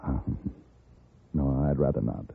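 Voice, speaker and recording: A man's voice: a bass voice, steady tone, slow, creaky, husky, exaggerated voice. A man playing a suave, sardonic gunfighter for hire, cool and commanding, in a 1950s radio western. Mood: courtly. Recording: narrow tinny old radio recording.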